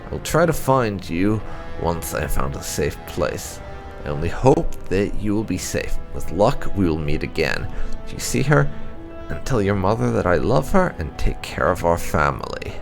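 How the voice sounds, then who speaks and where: Deep voiced guy reading out game lore, lots of vocal fry, measured storyteller feel, faint music behind. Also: very animated. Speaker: gaming video host. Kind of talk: reading game lore notes aloud, narration.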